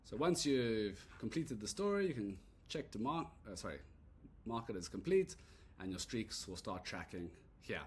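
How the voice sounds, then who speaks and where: A man giving a relaxed, rambling walkthrough of an app he built, full of "uh" and "so yeah" fillers. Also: fairly low pitch, normal speed, slight background noise. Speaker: app creator demoing his product. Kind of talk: casual screen-recorded product demo. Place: at a computer recording a screen demo.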